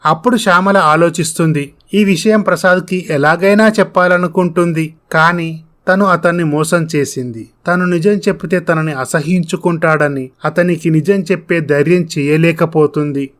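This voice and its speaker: Casting: narrator for scripted moral tales, mid-range pitch, telling the story between dialogue. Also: normal speed, a bit bright.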